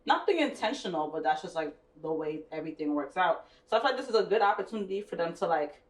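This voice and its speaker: A woman with a lowish voice talks things through with friends, reflective and unhurried. She sounds a little far from the mic, in a roomy, echoey space with a faint hiss underneath. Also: lively intonation. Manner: reflective, trails off and restarts. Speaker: podcast co-host. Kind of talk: casual chat among friends. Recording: echoey room, mic at a distance, faint noise.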